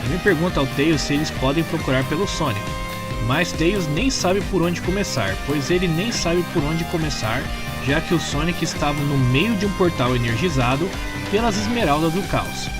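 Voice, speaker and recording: A man with a Brazilian accent reading scripted story narration in an expressive storytelling manner. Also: on the high side. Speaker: narrator of comic recaps.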